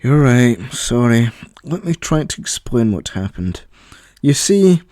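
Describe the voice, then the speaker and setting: A man's voice: medium pitch, big pitch swings, a little slow, dark tone, no echo, clean studio sound. A man voicing a proud young boy inventor, easily irritated, in an audio story. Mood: apologetic.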